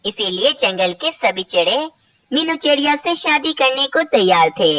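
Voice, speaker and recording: A woman's voice: high voice, sing-song, moderate pace, fairly bright tone, recorded from a distance. A woman narrating a scripted moral story for children.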